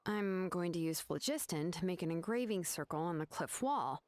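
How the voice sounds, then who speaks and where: An actress voicing a laid-back young craftswoman who explains things in a relaxed, matter-of-fact way. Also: lowish voice, moderate pace, no room sound, close to an everyday voice. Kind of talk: video game voice acting.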